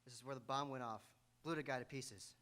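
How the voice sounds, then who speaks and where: A man's voice: medium pitch, fairly even intonation, a little fast, bright, forceful tone, close to an everyday voice. A man playing a blunt, matter-of-fact investigator in a live radio crime drama. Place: auditorium stage, live radio theatre.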